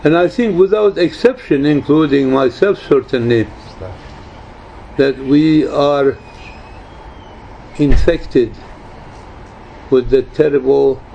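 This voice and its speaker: A very old man in his nineties gives an informal spiritual talk in a soft-edged, mellow voice. He speaks very slowly and haltingly, repeating phrases for emphasis. The recording is slightly muffled and lo-fi, with faint noise behind him. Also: a little high, vocal fry. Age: 90s.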